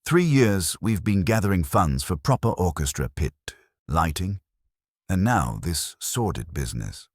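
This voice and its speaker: A genteel committee lady, played by a male narrator in a mystery audiobook. She is prim and formal, in a low, husky voice recorded dry. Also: sing-song, a little slow, darkish tone, dry recording, exaggerated voice. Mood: dismayed. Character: genteel, civic-minded lady committee stalwart.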